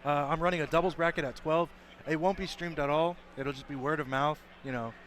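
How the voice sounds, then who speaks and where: A man commentating an esports tournament in casual, upbeat chat, his voice slightly hoarse from cheering. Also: a little high, steady tone, fairly bright tone, slight background noise. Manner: chatty and upbeat, quick rambling asides.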